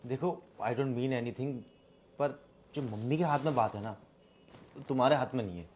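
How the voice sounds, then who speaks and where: Young Indian husband, played by a male actor, medium pitch, fault-finding and earnest, domestic drama scene. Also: moderate pace, a little mellow, a little hiss or hum, lightly acted. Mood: dismissive. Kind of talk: scripted domestic drama acting in Hindi with some English.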